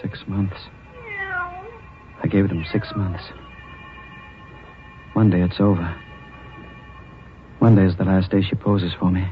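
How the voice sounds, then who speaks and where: An actor playing an obsessed, cunning mad sculptor in an old radio drama, talking in low intense mutters. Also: male voice. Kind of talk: 1940s radio acting. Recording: dull lo-fi old radio recording.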